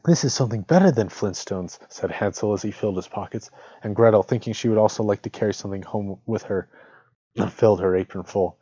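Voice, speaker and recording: A man's voice: on the low side, flat delivery, a little fast, mellow, husky. A man on a reading stream, speaking as a plain storyteller and casual host. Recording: dull lo-fi audio, roomy echo.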